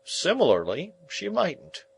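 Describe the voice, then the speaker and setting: A man voicing a slow, kindly working-class blacksmith, speaking with rustic grammar in a ponderous, emphatic way. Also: sits high, huge pitch swings, gravelly, breathy edge, pitched above the performer's own voice, heavily acted, low-quality recording. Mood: earnest.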